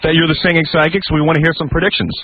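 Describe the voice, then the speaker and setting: Husky, blunt man interviewing, fast and higher-pitched. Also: flat delivery. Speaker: stand-in interviewer. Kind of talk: halting, informal radio interview. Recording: dull, lo-fi radio recording.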